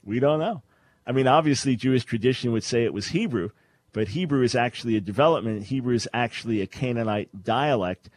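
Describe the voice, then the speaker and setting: A man's voice: mid-range voice, lively intonation, fairly quick, dry recording. A man hosting a call-in radio show, explaining Bible languages in a scholarly way with frequent restarts. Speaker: radio talk show host.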